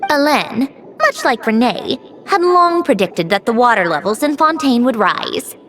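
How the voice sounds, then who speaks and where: A woman playing a self-assured young female mechanic in a video game, brisk and wry with a hidden tenderness. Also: on the high side, sing-song. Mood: wistful. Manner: brisk, instructing, wry, reflective. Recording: music behind the voice.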